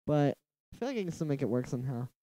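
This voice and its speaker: A man's voice: medium pitch, big pitch swings, very fast, dark tone, no room sound, poor audio. A young man with a nasal voice, chatting casually and rambling on a live stream while he works on a music track. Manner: rambling, heavy use of "like". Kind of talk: casual livestream chat while producing. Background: faint music from the track being produced.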